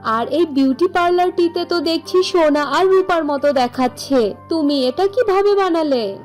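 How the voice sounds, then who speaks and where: A woman's voice: very high voice, moderate pace, darkish tone, soft background music, voice pushed up. A woman voicing humble, admiring village women in a cartoon story, earnest and marveling. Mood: amazed, delighted.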